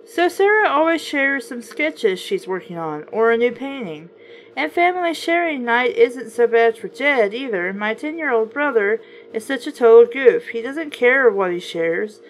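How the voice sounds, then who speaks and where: A woman's voice: fairly high pitch, lively intonation, normal speed, poor audio. A woman reading a children's book aloud in a casual way, breaking off for chatty, wry, skeptical commentary.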